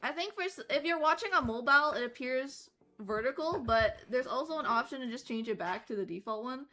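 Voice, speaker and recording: A woman streamer chatting casually while she draws, her voice hoarse and worn out, rambling with lots of fillers and restarts. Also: high-pitched, expressive, a little fast, pushed and bright.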